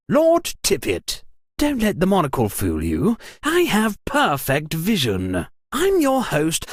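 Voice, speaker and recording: Posh excitable lord for a cartoon party game, husky, theatrical, super dry close recording. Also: male voice, fairly high pitch, dry recording, heavily acted. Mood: pompous, welcoming. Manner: theatrical, genteel, fussy, with old-fashioned exclamations. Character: excitable, pompous aristocrat party host and amateur sleuth.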